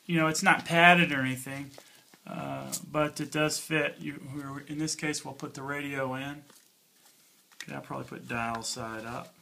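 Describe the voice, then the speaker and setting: A man with a slightly high, bright voice walks through a product like a hobbyist reviewer. His delivery is informal and plainspoken, with a folksy, hands-on feel. The tone has a forceful edge. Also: fairly even intonation, moderate pace, vocal fry, slight background noise. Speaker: product reviewer, channel host. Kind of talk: casual hands-on gear review.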